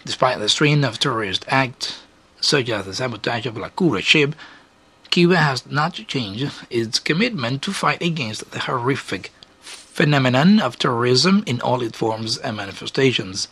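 Husky, bright-voiced man reading an editorial. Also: medium pitch, animated, moderate pace. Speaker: radio editorial commentator. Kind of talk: scripted radio editorial.

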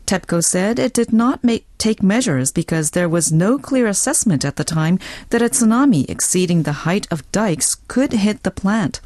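A woman's voice: medium pitch, darkish tone, no echo. A woman reading a scripted news report for radio.

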